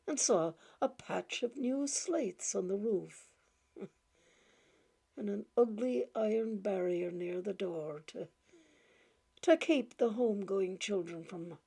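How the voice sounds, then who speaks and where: A woman reading a short story aloud in a gentle, halting way, repeating words and laughing softly as she goes. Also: medium pitch, gravelly, husky. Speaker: audiobook reader.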